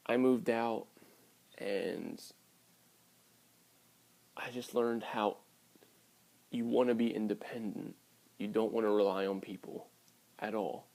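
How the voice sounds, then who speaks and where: A man's voice: fairly low pitch, a little slow, creaky, pauses often, darkish tone. A man in his early twenties talking casually and candidly to his viewers in a blunt, sweary vlog style. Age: early 20s. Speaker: vlogger.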